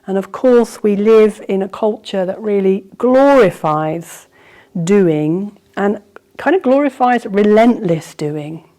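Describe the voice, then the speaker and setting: A woman teacher leading a guided yoga nidra meditation, improvising gently in a soothing, unhurried way with reflective pauses. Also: medium pitch, expressive.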